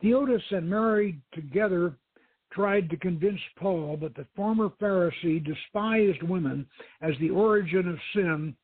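A man's voice: high-pitched, measured pace, husky, no room sound. A man who hosts the show, giving a dramatic reading of a novel. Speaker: host reading a novel aloud. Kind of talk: dramatic audiobook-style reading. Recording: thin, phone-like radio line.

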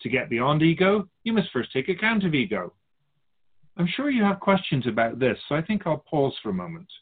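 A man's voice: on the high side, sing-song, fairly quick, slightly rough, narrow, tinny audio. A man playing a wise, wry otherworldly spirit guide in a radio drama, teaching in formal, didactic phrases. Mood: earnest, instructive. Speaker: spirit teacher answering questions. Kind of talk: scripted radio drama dialogue, expository.

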